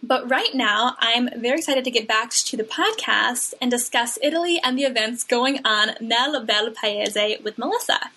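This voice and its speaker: A woman co-hosts a podcast in a chatty, enthusiastic way. Her voice is bright and a little high. She drops Italian words into casual English talk. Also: moderate pace, hardly any pauses, breathy edge. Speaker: podcast co-host.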